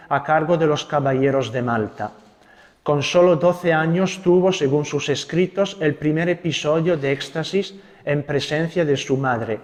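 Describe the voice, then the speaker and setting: A young man from Italy, a Carmelite friar with an Italian accent, giving a gentle spiritual talk and leading prayers. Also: on the high side, long reverb tail. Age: young adult. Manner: gentle, unhurried, adds tag questions.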